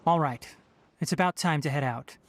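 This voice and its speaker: A male actor playing a thoughtful, principled young forest ranger in a video game, speaking in a measured, articulate way. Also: on the high side, close to an everyday voice. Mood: calm, instructing. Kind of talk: video game dialogue acting.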